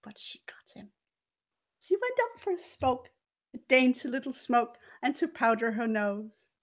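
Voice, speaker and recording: A woman telling a story in character as a haughty, scheming former cabaret dancer, theatrical and deliberate with dramatic pauses and swells. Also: fairly high pitch, very animated. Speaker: player in a murder mystery role-playing game.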